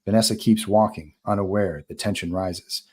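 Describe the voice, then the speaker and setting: Low-voiced man narrating a script. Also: steady tone, normal speed, a little mellow, husky. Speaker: narrator reading stage directions. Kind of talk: scripted narration at a live table read.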